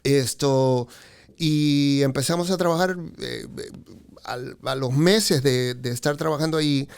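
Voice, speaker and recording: A man's voice: mid-range voice, unhurried, creaky, smooth. A man in his fifties, a guest on a podcast, telling his career story with many fillers and a habit of saying "correcto". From Peru. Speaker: podcast guest, car dealership expert. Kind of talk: casual interview storytelling.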